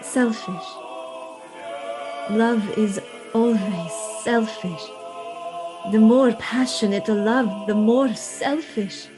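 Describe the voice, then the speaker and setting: A woman acting in a gothic radio drama as a mysterious, intense young lady, speaking in slow, formal period diction. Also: fairly high pitch, poor audio. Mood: brooding tenderness. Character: mysterious intense young woman, secretly ancient, possessive in love. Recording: music behind the voice.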